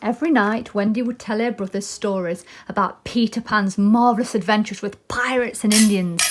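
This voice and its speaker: A woman's voice: mid-range voice, steady tone, normal speed. A woman narrating a children's storybook expressively. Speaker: storybook reader.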